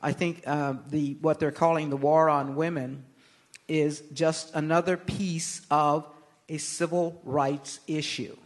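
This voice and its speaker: An older American woman answering interview questions as a guest, speaking in a measured, emphatic, oratorical way. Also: low-pitched, steady tone. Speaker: interview guest, political activist. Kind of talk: live on-stage interview answers.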